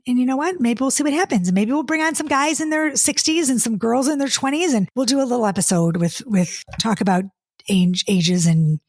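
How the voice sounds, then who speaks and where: A woman in her fifties hosts her podcast in a loose, exasperated, chatty way, stumbling over restarts as she reads comments aloud and answers them. Her voice sits a little high and carries a slight husky edge. The recording is dry and close, with no room sound. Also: dry recording. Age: 50s. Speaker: podcast host and dating coach. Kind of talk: casual solo podcast chat.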